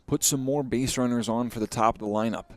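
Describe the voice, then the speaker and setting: A man's voice: medium pitch, fast talker. A man giving analysis as a color commentator on a live baseball broadcast.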